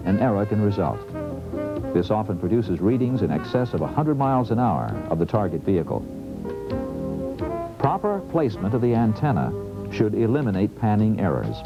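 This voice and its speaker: A man reading scripted instructional narration in a formal, measured way, on a dull old training tape. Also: lowish voice. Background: clear background music.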